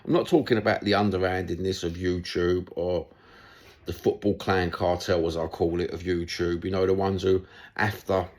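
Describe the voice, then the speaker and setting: Gravelly low-voiced Cockney man. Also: little pitch movement, moderate pace, a little mellow. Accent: Cockney London. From London. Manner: blunt, chatty, swears, rambling asides. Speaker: football YouTuber. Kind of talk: casual monologue to camera.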